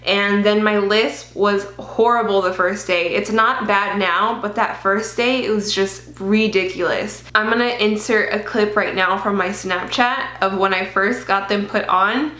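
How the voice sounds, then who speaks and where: A woman chats through a personal vlog in a loose, filler-heavy way, now and then swearing. Her voice sits at a middle pitch and carries a slight lisp from dental aligners. The recording has a roomy echo. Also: steady tone, measured pace, few pauses, bright, forceful tone. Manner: chatty, many fillers, occasional swearing. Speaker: vlogger.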